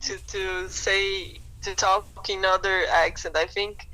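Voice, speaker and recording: A woman's voice: mid-range voice, flat delivery, takes it slowly, sharp tone, poor audio. A young woman, a guest chatting thoughtfully on a podcast with many fillers. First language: Portuguese. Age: young adult. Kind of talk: casual chat.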